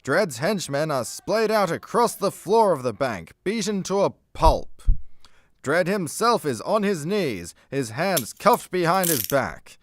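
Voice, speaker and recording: A man's voice: a little high, sing-song, a little slow, no echo. A man narrating a scripted superhero comedy radio play with a dramatic announcer's delivery.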